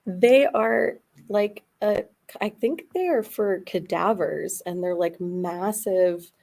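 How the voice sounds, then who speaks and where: A woman's voice: mid-range voice, takes it slowly, gravelly, darkish tone. A woman speaking as a panel guest in a casual debate, in a hesitant, loosely rambling way. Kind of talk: casual debate about horror films.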